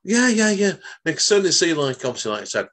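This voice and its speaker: A man's voice: high voice, big pitch swings, fast talker, a bit bright. A middle-aged man hosting an interview, rambling and leaning on stock phrases as he asks questions. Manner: rambling, leans on "obviously" and "like I said". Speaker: podcast host. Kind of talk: casual interview chat.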